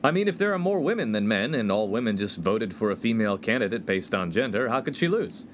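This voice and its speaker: A man's voice: lowish voice, big pitch swings, fast, a little hiss or hum. A man playing a naive, awkward young college freshman in a 1950s radio comedy, earnest and easily flustered. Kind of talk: comedic radio play acting.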